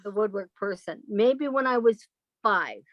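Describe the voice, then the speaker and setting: A woman actor in casual banter, speaking in a wry, halting way. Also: medium pitch, animated, no echo, highs cut off. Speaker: actress in a theatre company.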